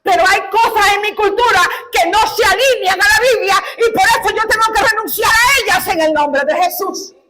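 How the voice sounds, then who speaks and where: High-voiced Cuban woman pastor preaching. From Cuba. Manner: fervent preaching, rising to shouted, tearful peaks; slow, deliberate scripture reading. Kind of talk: sermon.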